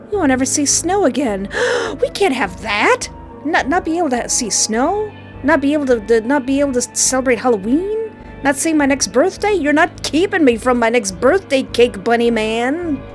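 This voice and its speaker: A woman reading a visual novel aloud, with playful, animated joking asides between the narration. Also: a little high. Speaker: let's play streamer.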